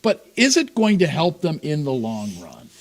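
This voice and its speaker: A man's voice: on the high side, huge pitch swings, normal speed, creaky. A man co-hosting a sports talk show, asking skeptical questions in casual banter.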